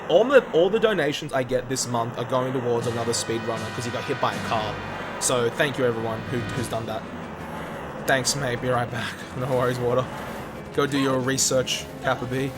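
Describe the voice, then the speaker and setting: A man's voice: mid-range voice, moderate pace. Young Australian man streaming, game music behind. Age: young adult. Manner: chatty, addresses viewers as mate and dude. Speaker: video game speedrun streamer. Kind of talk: casual livestream gaming commentary.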